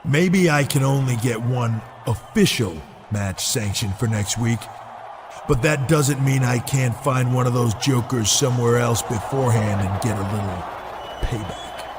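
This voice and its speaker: A man's voice: medium pitch, measured pace, music in the background, close to an everyday voice. An American man playing a tough, determined wrestler in a video game, with a blunt, dry delivery. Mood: scheming. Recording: noisy game cutscene audio.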